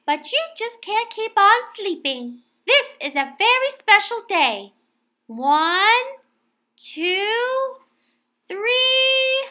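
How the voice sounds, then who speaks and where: A woman's voice: very high voice, drawn-out words, pitched above the performer's own voice, full character voice. A woman voicing a fond female bear from a storybook, speaking in a sweet, eager way. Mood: coaxing. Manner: sweet and excited. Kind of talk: storybook character voice.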